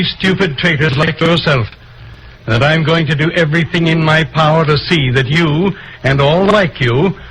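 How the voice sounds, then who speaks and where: A male actor plays a stern American newspaper boss in vintage radio drama. His voice is fairly high for a man, scornful and preachy, on a noisy old recording. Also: fairly bright tone, lightly acted. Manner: hectoring, preachy. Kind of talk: 1940s-50s American radio drama acting.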